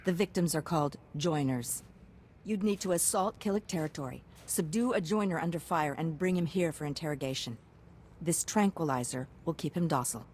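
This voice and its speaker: A woman's voice: sits low, brisk, a little mellow, a little hiss or hum, natural voice. A woman playing a pragmatic, hard-nosed military science commander in a video game, clipped and businesslike. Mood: grim, briefing. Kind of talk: video game character acting.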